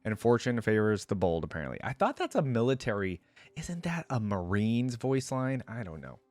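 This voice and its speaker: A man's voice: fairly low pitch, very animated, moderate pace, darkish tone, dry recording. A man giving casual, rambling reaction commentary as a video host, thinking aloud.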